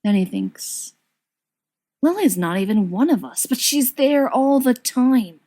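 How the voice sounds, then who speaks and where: A woman reading a story aloud as an expressive scripted narration. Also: fairly high pitch, a little slow. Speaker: audiobook reader of fan fiction.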